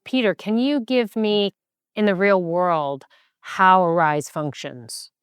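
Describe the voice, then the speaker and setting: A woman's voice: on the low side, slow pace, smooth, no room sound, studio quality. A woman hosting a podcast, asking guests questions in a conversational interview style. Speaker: podcast host.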